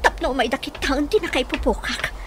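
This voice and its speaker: Actress as a high-voiced, distressed Filipino captive woman. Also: fairly quick, noisy background, natural voice. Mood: tense, determined. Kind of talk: Philippine radio drama acting.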